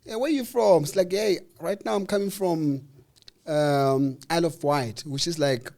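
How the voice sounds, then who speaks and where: A man with a South African accent telling a personal story in a casual interview, acting out the people in it and pausing on fillers. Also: a little high, gravelly. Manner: animated, acts out dialogue with voices, hesitant fillers. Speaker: interview guest.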